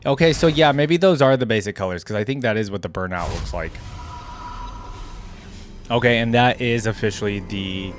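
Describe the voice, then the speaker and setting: A man's voice: on the low side, normal speed, pauses often, soft background music. A man giving casual reaction commentary as a video host, musing aloud in a rambling way.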